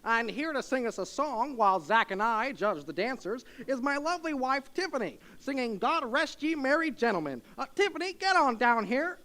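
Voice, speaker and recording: Man as a high-voiced, lively 1940s radio emcee. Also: moderate pace, hardly any pauses, full character voice. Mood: jovial. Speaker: radio show co-host. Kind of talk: 1940s radio show announcing. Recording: music behind the voice. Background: music.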